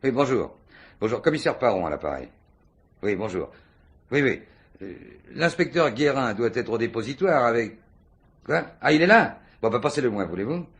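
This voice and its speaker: A man's voice: medium pitch, sing-song, normal speed, a bit bright, slightly rough, full character voice. An actor playing a dogged, falsely casual police commissioner in a French radio mystery, muttering and repeating himself as he probes. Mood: brisk, businesslike. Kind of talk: French radio play acting.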